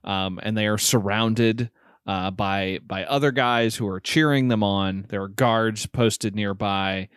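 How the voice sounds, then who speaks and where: A man's voice: on the low side, unhurried, fairly bright tone, clear, resonant tone, no echo, clean studio sound. A man co-hosting a podcast, recapping a film in casual chat with an amused manner. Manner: amused, often chuckling through words. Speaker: podcast co-host.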